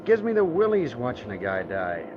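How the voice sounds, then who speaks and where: An American man playing a hurried, plain-spoken newspaper reporter in a 1940s radio drama, brisk and slangy. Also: medium pitch, wildly swooping pitch. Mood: harried. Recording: muffled noisy old radio recording.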